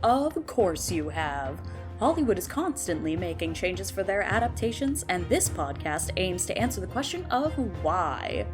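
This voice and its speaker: Animated woman reading a promo over music. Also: on the low side, measured pace. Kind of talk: scripted podcast promo narration. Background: loud music.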